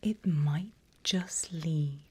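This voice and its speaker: A woman voicing a seasoned, smug and teasing demon mentor in a breathy, theatrical ASMR whisper. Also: low-pitched, slight background noise. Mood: enticing. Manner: slow, drawn-out, theatrical.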